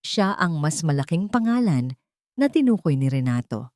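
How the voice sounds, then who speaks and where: Audiobook narrator: woman, lower register, scripted dramatic storytelling, very dry recording. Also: very animated, moderate pace, dark tone, dry recording.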